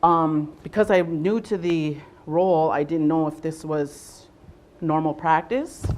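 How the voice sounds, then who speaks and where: A young adult woman from Southwestern Ontario leading a workshop, speaking loudly to a room without a microphone and often pausing on "um" and "uh". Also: low voice, steady tone. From Southwestern Ontario, Canada. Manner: loud voice, unamplified, frequent ums and uhs. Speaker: workshop facilitator, nurse navigator. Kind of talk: presentation to a workshop audience.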